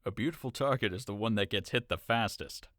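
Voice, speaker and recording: A man's voice: lowish voice, fairly quick, fairly bright tone, no room sound, studio quality, natural voice. An actor playing a caring young cousin in an audio drama, earnest and coaxing as he questions his friend. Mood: concerned.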